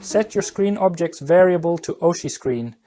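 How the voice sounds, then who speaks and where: A woman's voice: lowish voice, animated, normal speed, over loud music. A woman reading a scripted, promotional how-to narration as a tutorial narrator.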